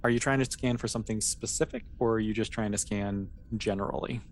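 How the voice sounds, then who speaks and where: Game master, easygoing and conversational, medium pitch, narrating a sci-fi tabletop session; some background noise. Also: fairly even intonation, quick pace.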